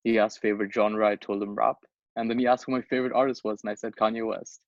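A man's voice: fairly low pitch, flat delivery, fast, no room sound. A young man from Bangladesh tells a story in loose, casual podcast talk. He stumbles and restarts often, says "like" and "you know" a lot, and swears offhandedly. The recording is dry and slightly dull. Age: young adult. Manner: rambling, many restarts and fillers, swears casually. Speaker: podcast co-host. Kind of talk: casual chat.

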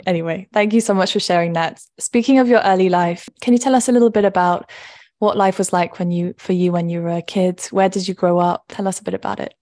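A young adult woman hosting an interview, chatting casually and backing her guest with soft encouraging murmurs. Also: on the low side, steady tone, fast. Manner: chatty, frequent soft backchannels. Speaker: podcast host.

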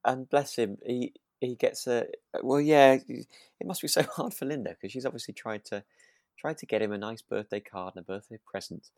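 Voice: medium pitch, moderate pace, a little mellow, no room sound, studio quality. A podcast co-host chatting casually about a radio drama, rambling a little and often repeating words while thinking aloud.

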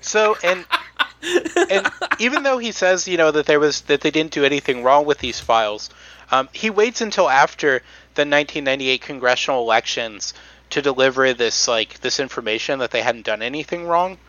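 Man, podcast host, hesitant delivery, lots of ums, bright tone, dull lo-fi sound. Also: on the high side, lively intonation, moderate pace, dry recording. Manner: hesitant, many "um"s and restarts. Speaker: podcast host presenting research. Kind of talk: casual podcast chat.

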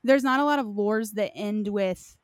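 A woman's voice: mid-range voice, moderate pace, no echo. A woman hosting a casual discussion, speaking in a loose, conversational way with plenty of hedging. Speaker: host of a game lore discussion.

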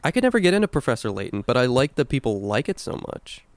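A man with a smooth, clean voice at an ordinary mid pitch, recorded close and dry. He talks like a podcast co-host in casual chat, informal and emphatic, with some swearing. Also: big pitch swings, fast talker, no echo. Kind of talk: casual chat about video games.

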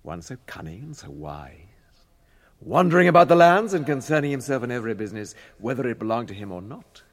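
An actor playing a proud, scheming old wizard in a radio drama, with grandiose and scornful theatrical delivery. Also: male voice, medium pitch, sing-song, fairly quick. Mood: scornful, boastful. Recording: reverberant chamber radio drama sound.

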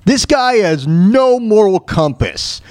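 A man hosting a talk show, delivering an opinionated solo rant in a halting, repetitive, emphatic way. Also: high voice, sing-song, a little mellow. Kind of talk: opinionated solo rant reacting to news clips.

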